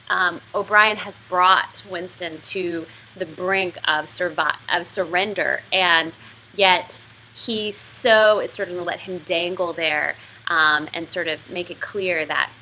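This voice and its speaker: A woman's voice: fairly low pitch, bright, forceful tone, a little hiss or hum, poor audio. A woman giving an explanatory lesson as a writing instructor, talking through a literary passage.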